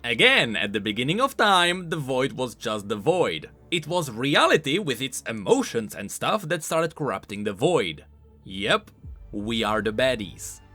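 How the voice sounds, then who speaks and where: A man hosting a game lore video essay, explaining in an expressive, informal and emphatic way, scripted but with casual asides. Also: medium pitch, moderate pace, few pauses. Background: background music.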